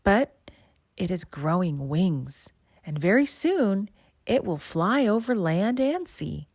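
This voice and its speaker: Woman reading as an earnest, higher-pitched young girl. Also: big pitch swings, slow pace, darkish tone, no echo, studio quality, full character voice. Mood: gentle. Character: earnest, excitable young girl, loyal friend.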